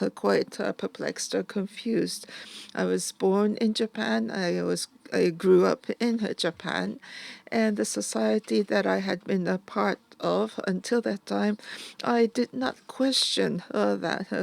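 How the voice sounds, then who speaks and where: Interpreter at a museum talk, very slow and halting, heavy vocal fry. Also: medium pitch, darkish tone. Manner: halting, with an "uh" before nearly every phrase.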